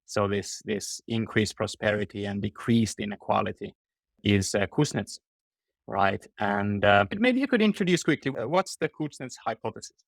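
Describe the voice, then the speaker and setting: A man's voice: fairly low pitch, lively intonation, normal speed, gravelly, no echo, studio quality. A man hosting a conversational interview, putting questions in a thoughtful, tentative way. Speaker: podcast host, interviewer.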